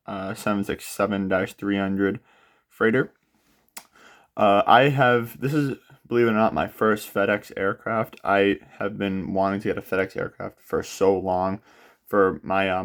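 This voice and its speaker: A young man hosting a casual unboxing of model aircraft, sounding a bit nasal from a cold and talking in a relaxed way with frequent filler pauses. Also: on the low side. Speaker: aviation model channel host. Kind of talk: casual product unboxing walkthrough.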